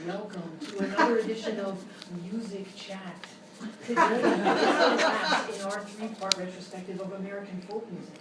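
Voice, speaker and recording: A woman's voice: mid-range voice, lightly acted. Woman playing an earnest, echoey radio host. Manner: measured, formal, deadpan interviewer. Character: polite, earnest female public-radio talk show host. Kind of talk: parody radio interview. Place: live show in a hotel room. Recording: echoey live stage, distant mic, noisy.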